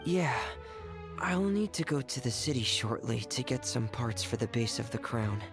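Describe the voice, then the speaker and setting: A man playing a shy, reserved, earnest teenage boy in a video game, soft-spoken and hesitant. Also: mid-range voice, expressive, moderate pace, music in the background, close to an everyday voice.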